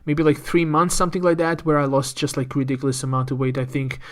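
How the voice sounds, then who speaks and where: A man's voice: a little high, flat delivery, quick pace. A man, speaking as a podcast guest and candidly telling a personal story, often repeating words as he thinks. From Croatia. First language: Croatian. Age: 30s.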